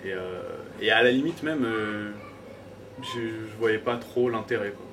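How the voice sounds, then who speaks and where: A man's voice: lowish voice, measured pace. A French man in his twenties, a DJ guest chatting casually and joking, with lots of hesitant fillers. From France. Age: 20s. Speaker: DJ, interview guest. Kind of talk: casual interview chat. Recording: distant mic, noisy background.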